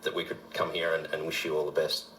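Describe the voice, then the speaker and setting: An Australian man working as a radio presenter, giving an informal on-air tribute with a halting delivery. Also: very deep voice, completely flat delivery, sharp tone. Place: radio studio. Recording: roomy studio with faint noise.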